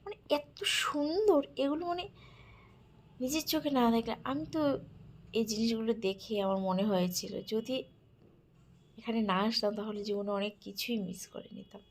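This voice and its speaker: A woman giving an admiring, soft-spoken narrated walk through an exhibit, now and then dropping to a whisper. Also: a little high, moderate pace. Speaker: vlogger touring a village-life exhibit. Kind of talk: casual narrated walkthrough.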